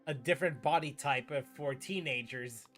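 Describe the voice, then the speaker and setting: A man's voice: mid-range voice, normal speed, fairly bright tone. A man giving casual gaming playthrough commentary in a slangy, exclamatory way. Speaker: gaming streamer. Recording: game music behind the voice.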